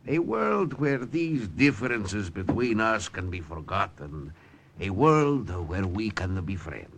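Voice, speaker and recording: An actor playing a haughty, middle-aged Italian fascist gentleman with a courtly, condescending manner in an old radio drama. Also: on the low side, very animated, takes it slowly, vocal fry, heavily acted. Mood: grandly genial. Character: suave, haughty older Italian fascist gentleman with grown daughter. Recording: vintage radio broadcast, faint noise.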